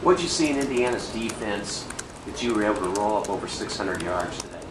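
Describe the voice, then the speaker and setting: A man's voice: lowish voice, animated, brisk. A man putting questions as a sports reporter at a press conference. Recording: echoey, distant, noisy room.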